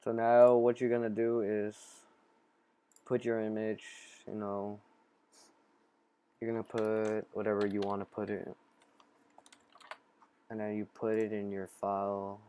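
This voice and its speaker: A man casually talking through a software tutorial in a halting, improvised way, step by step. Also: fairly low pitch, little pitch movement, clean tone. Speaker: tutorial host.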